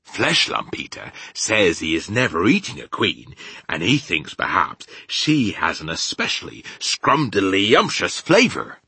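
Man as storybook giant, gentle, stubborn, muddled grammar, very animated, forceful. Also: deep voice, measured pace, vocal fry, husky, no room sound, pitched above the performer's own voice, full character voice. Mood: matter-of-fact. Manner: muddled grammar and invented words. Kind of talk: children's storybook character reading.

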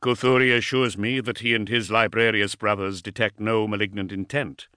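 A man voicing a towering, grave warlord in an audiobook, with formal, measured authority. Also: on the low side, steady tone, pushed and bright, clean tone, no room sound, studio quality.

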